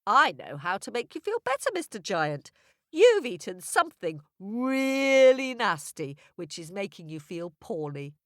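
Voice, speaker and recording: A woman voicing a boastful, hungry male coyote trickster in a told story, cocky and quick-talking. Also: mid-range voice, sing-song, a bit bright, no room sound, studio quality. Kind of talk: storybook character voice.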